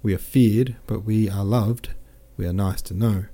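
A man's voice: lowish voice, fairly even intonation, measured pace, warm tone. A man voicing a jovial, boastful French army captain who chatters in exclamations.

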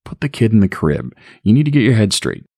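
A hungover, bossy slob snaps orders in a rough, dismissive voice, as a man puts him on in a storybook reading. The sound is close and dry. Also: lowish voice, very animated, fairly quick, a little mellow, no echo, pitched above the performer's own voice, exaggerated voice. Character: surly, hungover lout, the boyfriend.

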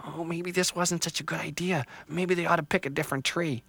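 A man's voice: fairly high pitch, fairly even intonation, brisk, gravelly, fairly bright tone, dry recording, voice pushed up. A man voicing a timid young female sapling tree, anxious and hopeful, wondering aloud. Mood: nervous.

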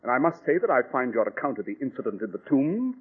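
An actor in a 1940s radio drama, playing a self-assured detective, precise and husky. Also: male voice, medium pitch, sing-song, quick pace. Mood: intrigued. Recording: tinny 1940s radio recording.

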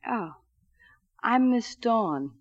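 An actress playing a flighty, needy gangster's moll in 1940s radio style, rambling and repeating herself. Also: female voice, a little high, animated, drawn-out words, exaggerated voice. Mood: chatty. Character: flighty, needy middle-class gangster's moll who bets horses. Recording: dull 1940s radio broadcast recording.

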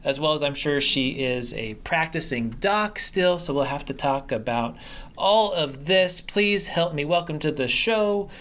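A man's voice: fairly high pitch, expressive, unhurried, a bit bright. A man hosting a podcast interview, upbeat and enthusiastic, a bit rambling. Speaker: podcast host. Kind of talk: interview conversation.

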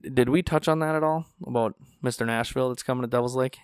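A man's voice: mid-range voice, fast talker, clean tone, no room sound, clean studio sound. A man from North Dakota hosting a podcast, telling stories in casual chat and acting out the people he quotes. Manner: animated, acts out quoted voices. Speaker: podcast host. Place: small studio. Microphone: studio podcast mic.

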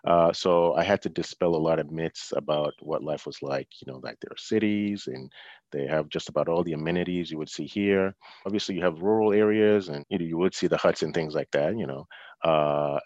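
A man's voice: a bass voice, a little fast, darkish tone, no echo, muffled recording. A middle-aged man, a guest in a casual interview, talking thoughtfully with many fillers and restarts. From Nigeria and the US.

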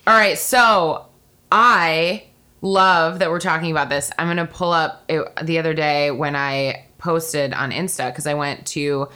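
A young adult woman hosting a podcast from home, with a low voice, chatting in a bubbly, talkative way and laughing easily. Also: fairly even intonation, pushed and bright, smooth. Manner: bubbly, chatty, quick to laugh, says "like" a lot. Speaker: podcast host. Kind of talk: casual chat.

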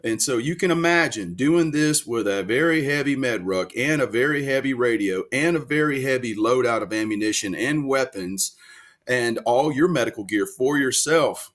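A man's voice: medium pitch, expressive, normal speed, fairly bright tone. A man in his forties from North Louisiana, a veteran guest telling detailed stories in a casual interview, earnest and full of fillers. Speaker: guest, military veteran and country singer.

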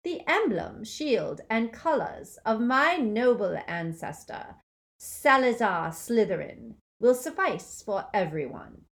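A woman doing a cold, gloating dark lord from a storybook reading, his voice soft and silky with a snake-like hiss. He speaks higher and louder than the narration around him, rising and swooping as he mocks his enemies. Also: sing-song, takes it slowly, heavily acted. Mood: cold, menacing. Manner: soft, silky, hissing menace.

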